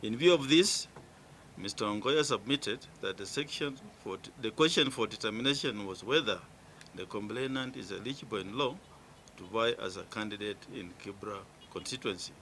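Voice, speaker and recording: Kenyan man as an official delivering a written ruling: fairly deep, forceful, formal, emphatic at phrase starts. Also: normal speed, slight background noise, poor audio. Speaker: electoral commissioner reading a ruling. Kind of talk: formal reading of a legal ruling.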